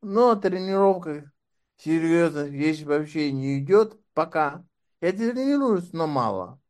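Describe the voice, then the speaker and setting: Wry, sardonic man with raised pitch. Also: expressive, slow pace, a little mellow. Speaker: interview guest, doctoral candidate. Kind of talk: casual conversation.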